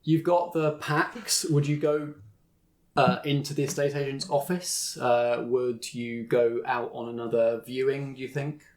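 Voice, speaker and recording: A man narrating a tabletop game as game master, descriptive and measured, slowing for dramatic moments. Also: medium pitch, steady tone, a little mellow.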